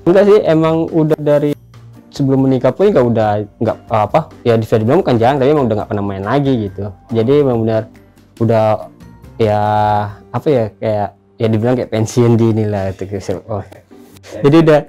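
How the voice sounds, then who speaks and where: A man in his thirties speaks in a mellow, rather dark voice, searching for words and rambling through his answers. Light music plays faintly in the background. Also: medium pitch, a little fast. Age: 30s. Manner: hesitant, rambling, many fillers. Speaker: interviewee. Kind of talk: casual interview answers.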